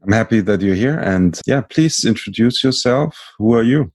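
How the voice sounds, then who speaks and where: A man hosting a podcast, introducing his guest in a measured, formal way. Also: fairly low pitch, animated, darkish tone, husky. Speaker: podcast host. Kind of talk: podcast interview.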